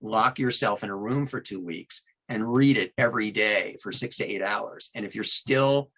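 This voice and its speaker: Male audiobook narrator in a podcast interview, lowish pitch with a bright, forceful tone. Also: normal speed, poor audio. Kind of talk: conversational interview talk.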